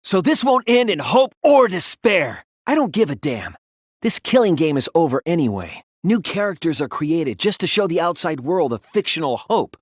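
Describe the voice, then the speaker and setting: A man's voice: on the high side, very animated, moderate pace, husky, no room sound, clean studio sound. A young man voicing an earnest, wounded but defiant teenage boy detective in a dramatic video game dub, emotional and emphatic. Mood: impassioned defiance, then quiet, somber determination. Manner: emotional, emphatic, swinging from hushed to impassioned.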